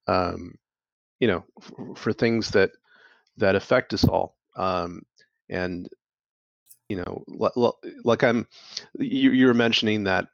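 A man's voice: deep voice, gravelly, no room sound. A man hosting an interview, talking hesitantly with many fillers and restarts. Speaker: interview host. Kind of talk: casual interview. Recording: dull lo-fi sound, dry.

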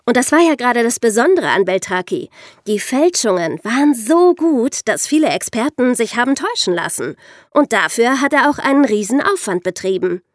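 A woman's voice: a little high, no echo, clean studio sound. A woman hosting a podcast, explaining things in a storytelling way with rhetorical questions. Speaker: podcast host.

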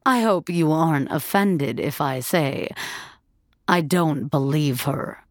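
A woman's voice: low voice, slow, no room sound, clean studio sound. A woman narrator voicing a guarded, politely nervous young woman in an audio drama, speaking hesitantly.

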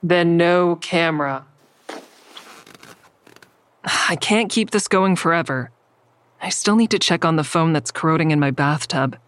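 A woman's voice: fairly low pitch, normal speed, close to an everyday voice. A woman playing a wary, stubborn mother who narrates her risky investigation in the first person in an audio drama. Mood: defiant, then wary, confiding.

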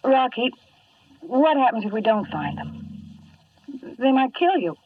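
An American actress playing an anxious but plucky young woman, fretful and expressive, in a 1950s radio drama. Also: a little high, measured pace, husky, heavily acted. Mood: worried, determined. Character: anxious, plucky young working woman, the hero's loyal date. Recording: dull, distant 1950s radio recording.

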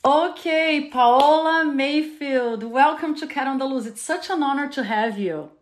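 A woman hosts a casual podcast chat. She talks in an animated way and laughs easily. Her voice sits a bit high. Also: unhurried. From Latin America. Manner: animated, laughs often, mixes in Spanish. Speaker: podcast host. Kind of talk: casual interview.

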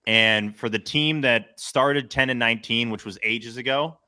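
A man's voice: mid-range voice, measured pace, pushed and bright. A man hosting a sports podcast, chatting casually with a co-host.

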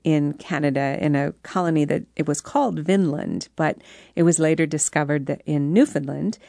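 Female author guest, deep-pitched voice, conversational and articulate, dry close recording. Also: fairly even intonation, normal speed, vocal fry, mellow, no echo. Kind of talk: conversational interview, explanatory storytelling.

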